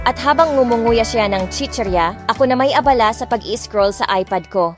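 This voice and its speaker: A woman's voice: fairly high pitch, moderate pace, soft background music. A woman narrating a romance story in the first person, reading expressively with light dramatic touches. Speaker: audiobook narrator.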